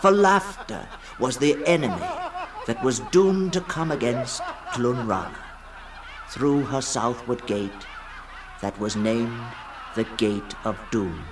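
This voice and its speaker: A man giving a solemn, dramatic literary reading in the style of 1960s radio, swinging between hushed and ringing tones. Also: mid-range voice, takes it slowly, crowd noise. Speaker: storyteller, reader. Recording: 1960s radio production with music behind. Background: music.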